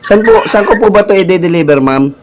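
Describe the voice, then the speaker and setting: A man's voice: high-pitched, animated, brisk, background noise. A Filipino man on a casual prank call, posing as a delivery rider with polite, hesitant patter. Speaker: prank-calling vlogger. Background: music.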